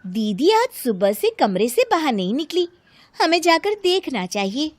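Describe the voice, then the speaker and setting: Cartoon younger sister-in-law, played by a woman: concerned, earnest, high, animated. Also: moderate pace, no room sound. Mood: worried. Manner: anxious, solicitous. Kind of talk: Hindi cartoon story dialogue.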